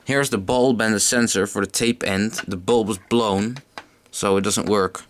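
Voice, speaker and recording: A man's voice: fairly low pitch, steady tone, a little slow. A man casually talking through an unscripted hands-on gear demonstration, a little hesitant as he goes. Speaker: hobbyist demonstrating vintage audio gear. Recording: noisy amateur camera sound with clicks.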